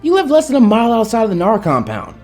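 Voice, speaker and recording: A man's voice: high voice, wildly swooping pitch, very fast, darkish tone, faint music behind, pitched above the performer's own voice, heavily acted. A man voicing a brash, stubborn teenage boy ninja in a story, whiny and indignant.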